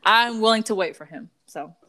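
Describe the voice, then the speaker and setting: A woman's voice: a little high, moderate pace, sharp tone, low-quality recording. A woman in her thirties co-hosting a casual podcast chat, talking emphatically and laughing often. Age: 30s. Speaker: podcast co-host.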